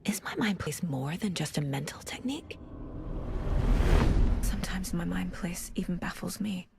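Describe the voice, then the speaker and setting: An actress playing an earnest, troubled investigator in a video game, questioning in a hushed, intense manner. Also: female voice, lowish voice, lively intonation, moderate pace, vocal fry. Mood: introspective. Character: earnest, troubled woman investigator probing her own mind. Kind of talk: cinematic video game acting. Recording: noisy game ambience behind.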